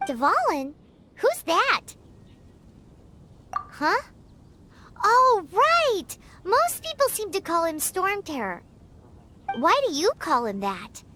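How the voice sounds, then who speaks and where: A woman voicing a tiny, blunt, excitable childlike fairy girl in an anime game dub, squeaky and exclamatory. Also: slow pace, long pauses, a little hiss or hum, heavily acted. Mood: puzzled, suspicious. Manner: squeaky, exclamatory, refers to self in third person.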